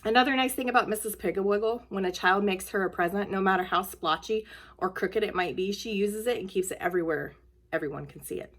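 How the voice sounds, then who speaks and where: A woman storyteller reading a children's book aloud in an expressive, emphatic way. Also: mid-range voice, fast.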